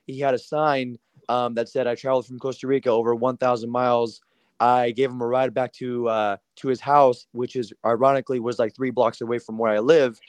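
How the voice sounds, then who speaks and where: A man calls in to a radio show, telling his story eagerly and at length. His voice is a little raspy and stays fairly level in pitch. The sound is dry and close. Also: little pitch movement, a little fast, no echo. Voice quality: slightly raspy. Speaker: caller. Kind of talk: phone-in chat.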